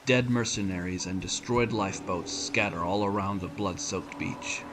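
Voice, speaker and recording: A man's voice: low-pitched, fairly even intonation, moderate pace, noisy background. A man narrating a scripted audio drama in descriptive storytelling prose. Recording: loud music under the voice.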